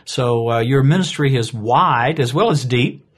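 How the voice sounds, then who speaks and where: A man's voice: medium pitch, unhurried, husky. A man hosting a podcast, introducing his guest formally and asking courteous, guided questions. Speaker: podcast host. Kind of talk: interview hosting.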